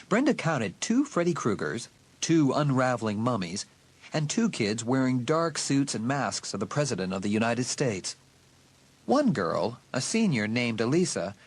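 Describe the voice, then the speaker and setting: A man reading a dramatised horror audiobook as a scripted narrator. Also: mid-range voice.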